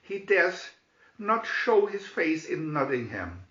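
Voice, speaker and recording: A man's voice: on the high side, sing-song, slow pace, sharp tone, roomy sound, muffled recording, exaggerated voice. A man voicing a scheming, boastful medieval sheriff in a storybook, proclaiming theatrically. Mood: glum, scornful.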